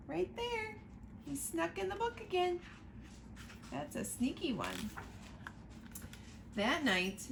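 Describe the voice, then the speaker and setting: A woman reading a picture book aloud to children, slow and expressive, pointing out the pictures as she goes. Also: sits high, gravelly, a little hiss or hum. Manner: slow, expressive storytime reading, asking listeners to look at pictures.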